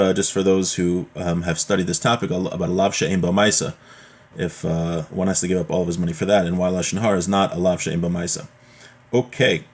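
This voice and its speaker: A man giving an informal religious lesson, reading from a text and explaining it point by point with rhetorical questions. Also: lowish voice. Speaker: religion teacher giving a class.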